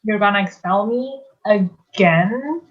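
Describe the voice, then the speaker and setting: A woman's voice: mid-range voice, slow pace, lo-fi sound, lightly acted. A woman playing a troubled, doubtful young demigod boy in a musical theatre table read, with a hesitant, questioning manner. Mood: bitter, hurt.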